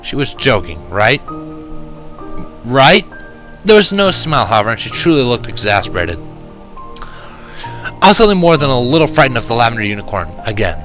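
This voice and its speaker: A man's voice: medium pitch, big pitch swings, normal speed, pauses often, fairly bright tone, faint music behind. A man reading scripted first-person fan fiction as the narrator.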